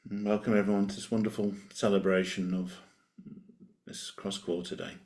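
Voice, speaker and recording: Male meditation guide, low register, gentle unhurried delivery with plenty of space between lines. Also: level tone. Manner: gentle, unhurried invitations with long pauses.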